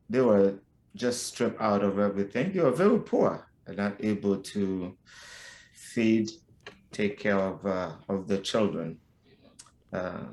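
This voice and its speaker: A middle-aged Haitian-accented man speaks slowly and haltingly, often restarting his sentences. His voice sits fairly low. The sound carries a noticeable room echo. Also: a little mellow. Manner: slow, halting, many restarts and fillers. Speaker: podcast guest. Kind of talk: personal life story in conversation.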